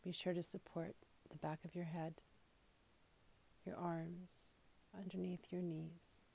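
A woman leading a guided meditation in a soothing, deliberate manner, with phrases that trail off softly. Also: on the low side, flat delivery. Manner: soothing, slow and deliberate with soft, breathy phrase endings. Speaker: yoga nidra guide.

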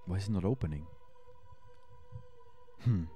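A man's voice: low voice, measured pace, dark tone, faint music behind. A man in his thirties, a native Spanish speaker with a German accent, chatting on a headset mic while streaming a game, thinking aloud and answering chat. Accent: German-accented English. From Austria. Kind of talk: casual let's-play commentary. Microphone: headset mic at a desk.

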